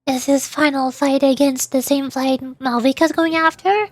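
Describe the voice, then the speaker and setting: High-voiced woman livestreaming a game. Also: fairly even intonation, normal speed, no echo. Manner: chatty, talks to herself. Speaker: streamer. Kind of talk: livestream gameplay commentary and reading game text aloud. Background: game music.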